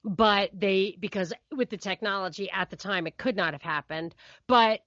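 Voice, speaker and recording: A woman's voice: mid-range voice, moderate pace, a bit bright, dry recording, highs cut off. A middle-aged woman co-hosting a podcast, chatting casually and giving her opinions. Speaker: podcast co-host.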